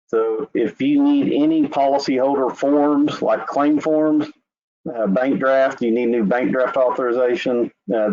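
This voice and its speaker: This is a man with an Oklahoma accent and an ordinary middle-pitched voice, leading a webinar walkthrough. He talks in a relaxed, rambling way with plenty of filler. The sound is dull and lo-fi, like a web call. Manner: relaxed, conversational, with filler "uh" and "anyway". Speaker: insurance company representative. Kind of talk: screen-share webinar walkthrough. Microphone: video call.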